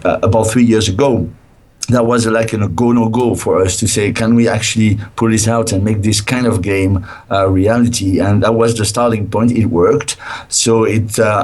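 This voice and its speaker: A middle-aged man, a game developer sounding tired and a bit hoarse, speaking hesitantly with many fillers. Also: fairly low pitch, a little mellow. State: tired, nearly lost his voice. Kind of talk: casual interview over a call.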